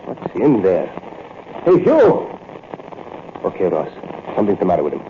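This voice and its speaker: A nervous gangster sidekick, voice leaps all over, muffled old radio drama. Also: male voice, low voice, wildly swooping pitch, normal speed, roomy sound, a little hiss or hum. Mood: anxious, calling out. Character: nervous but loyal armed henchman. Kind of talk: old-time radio horror drama acting.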